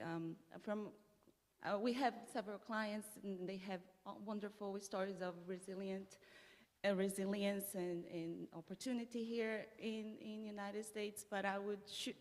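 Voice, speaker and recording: Brazilian-accented woman, guest speaker, halting delivery, long church reverb. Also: mid-range voice. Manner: hesitant, many fillers and restarts. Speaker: guest speaker, nonprofit development director. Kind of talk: personal talk at a church service. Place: church sanctuary.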